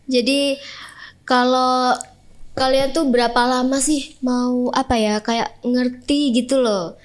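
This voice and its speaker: A woman's voice: sits high, steady tone, takes it slowly, pauses often. A young woman from Jember hosting a student podcast, asking questions in a curious way and laughing often. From Jember, East Java. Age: young adult. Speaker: host. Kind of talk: casual interview.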